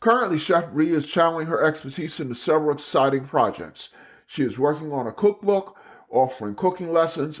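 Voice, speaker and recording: A man hosting a podcast, reading a guest introduction and then asking questions with halting restarts. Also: medium pitch. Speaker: podcast host.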